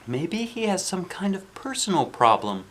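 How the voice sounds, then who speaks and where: A man voicing a thoughtful, know-it-all young schoolgirl in a storybook, reasoning in a measured, earnest way. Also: high-pitched, sing-song, a bit bright, voice pushed up, exaggerated voice. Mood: earnest concern.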